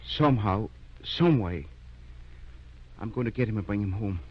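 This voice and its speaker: An actor playing a kindly, determined older country doctor in an old-time radio drama, measured and earnest. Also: male voice, fairly low pitch, expressive, breathy edge. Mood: resolved. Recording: dull vintage radio recording.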